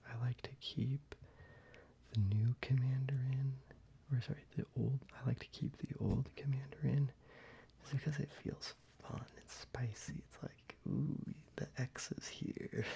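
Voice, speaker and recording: A man whispering softly into a close microphone in a soft-spoken ASMR video, with quiet chuckles along the way. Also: medium pitch, vocal fry, breathy edge. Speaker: ASMR creator.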